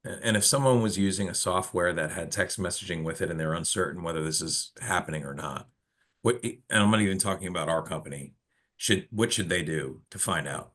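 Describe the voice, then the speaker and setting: A man's voice: sits low, brisk, fairly bright tone. A man hosting an informal business webinar, explaining things and putting questions to a colleague. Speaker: webinar host of a telecom company.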